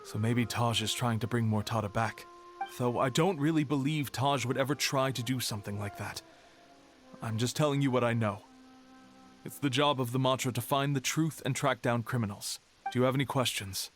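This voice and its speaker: A man playing a sober archive keeper in a video game, measured and formal, with music behind. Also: mid-range voice, lightly acted. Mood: cautious, detached. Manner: measured, matter-of-fact, formal. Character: sober, knowledgeable male archive keeper recounting grim history. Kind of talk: scripted game dialogue.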